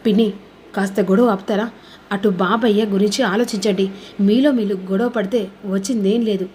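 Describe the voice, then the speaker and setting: A woman's voice: mid-range voice, fairly even intonation, normal speed, dark tone, a little hiss or hum, close to an everyday voice. A woman voicing an earnest family peacemaker in a serial recap, imploring and repeating pleas. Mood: pleading. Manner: imploring, repetitive.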